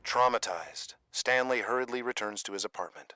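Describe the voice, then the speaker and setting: A man's voice: mid-range voice, normal speed, sharp tone, no room sound, studio quality. A man reading scripted dramatic narration for a radio drama.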